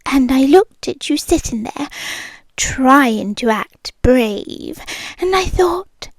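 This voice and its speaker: A young British woman voicing a calm, controlling rich girlfriend in an ASMR roleplay, speaking slowly and softly and often whispering close to the mic. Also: high voice, no echo, full character voice. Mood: possessive. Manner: slow, soft-spoken, often whispering close.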